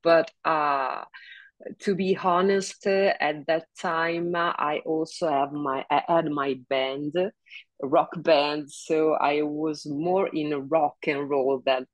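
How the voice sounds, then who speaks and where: A middle-aged woman singer with a big, low speaking voice talks slowly on a video call. She halts often with fillers and breaks into laughs. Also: fairly even intonation, no room sound. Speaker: singer, interview guest. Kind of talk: casual video call interview. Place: home room, seated in office chair.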